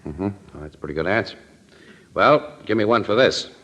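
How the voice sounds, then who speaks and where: An actor playing a wry, skeptical, streetwise newspaper photographer in an old-time radio crime drama, with clipped, slangy wisecracks. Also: male voice, on the low side, lively intonation, moderate pace, a bit bright. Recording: dull, lo-fi old radio recording.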